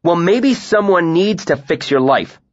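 A man voicing a proud, hot-tempered young man who snaps and shouts in anger. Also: on the high side, animated, normal speed, highs cut off, voice pushed up. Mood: furious.

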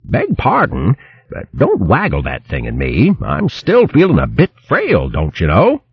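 A man's voice: lowish voice, huge pitch swings, measured pace, vocal fry, husky, dull audio, full character voice. A man voicing a pompous, whining old male hare from an animal storybook, melodramatic and full of old-fashioned posh British slang. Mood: polite protest.

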